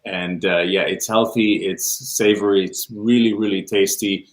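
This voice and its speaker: Israeli man, low voice, roomy echo. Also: steady tone, a little slow. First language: Hebrew. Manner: hesitant, many ums and restarts. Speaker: music teacher and guest. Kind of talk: casual interview chat. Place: room, livestream.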